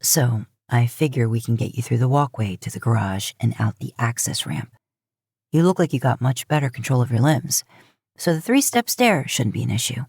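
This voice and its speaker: A woman voicing a gruff, affectionate adult man, a teasing big brother, in an audiobook story. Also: a bass voice, animated, moderate pace, darkish tone, no room sound, clean studio sound, pitched below the performer's own voice, exaggerated voice. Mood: casual, caring. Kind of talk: acted dialogue in fan fiction audiobook.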